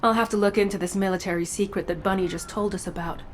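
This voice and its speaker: A woman's voice: medium pitch, fairly even intonation, fast talker, slight background noise, natural voice. A woman playing a stern, secretive sci-fi intelligence operative in a video game, controlled, dry and coolly menacing. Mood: businesslike.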